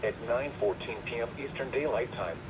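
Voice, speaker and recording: Formal male weather radio announcer, noisy signal. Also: mid-range voice. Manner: formal, impersonal, measured. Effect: weather radio playback. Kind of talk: scripted emergency weather bulletin.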